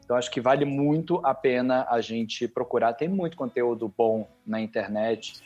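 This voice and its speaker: A man's voice: mid-range voice, moderate pace, a little mellow, muffled recording, faint music behind. A man with a Brazilian Portuguese accent, a podcast guest talking earnestly and eagerly recommending things to watch. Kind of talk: casual podcast chat.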